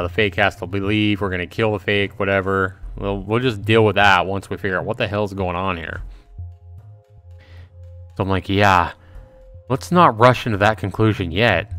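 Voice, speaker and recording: Guy streaming a game, lowish voice, thinks out loud to chat, repeats himself, bit of music behind. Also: steady tone, normal speed, clear, resonant tone, no room sound. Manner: repetitive, thinking aloud, addressing chat viewers. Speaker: livestream host playing a visual novel. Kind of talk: casual live gameplay commentary.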